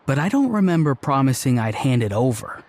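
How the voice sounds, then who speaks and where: An actor playing a laid-back young man in a video game, deadpan and teasing. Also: mid-range voice, brisk, slight background noise. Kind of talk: video game cutscene voice acting.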